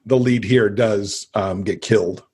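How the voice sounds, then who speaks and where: A man's voice: on the low side, fairly even intonation, unhurried, a little mellow, breathy edge. A man, middle-aged, talking casually and enthusiastically as a guest in an interview about books. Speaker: podcast guest, avid reader.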